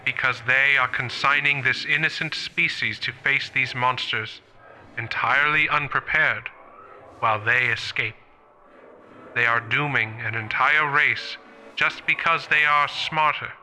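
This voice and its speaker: A man playing a thoughtful, morally troubled professor in a radio play, speaking in a measured, earnest way. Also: medium pitch, steady tone, sharp tone, low-quality recording.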